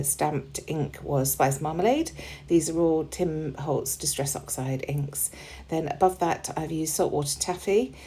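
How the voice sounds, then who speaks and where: A woman with a slightly hoarse voice, chatting in a relaxed way as she narrates a scrapbooking process video, with plenty of ums. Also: low voice. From United Kingdom. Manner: relaxed and chatty, with frequent ums and small laughs. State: slightly hoarse, losing her voice. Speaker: crafting channel host.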